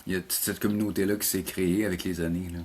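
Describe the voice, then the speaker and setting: A man's voice: low-pitched, level tone, rapid-fire, darkish tone, breathy edge. A middle-aged man with a Quebec French accent, chatting casually as an interview guest and often repeating words as he searches for his phrasing. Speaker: animation teacher and programme coordinator, interview guest.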